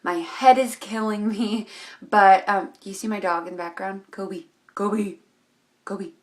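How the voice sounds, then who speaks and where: A woman's voice: mid-range voice, fairly even intonation, a little slow. A young woman who is losing her voice chats her way through a casual makeup tutorial, with lots of ums and likes. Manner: rambling, chatty, many ums and likes. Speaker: beauty vlogger.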